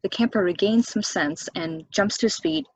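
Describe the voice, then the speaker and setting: A woman's voice: mid-range voice, expressive, normal speed, vocal fry, slight background noise, rough recording. An actress playing a level-headed, skeptical woman with a dry, conversational delivery, in a table reading of a stage play. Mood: storytelling.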